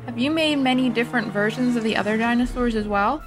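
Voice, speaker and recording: A woman's voice: a little high, fast, music in the background, close to an everyday voice. An actress playing a skeptical, principled female doctor in an audio drama, questioning and challenging. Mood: curious, questioning.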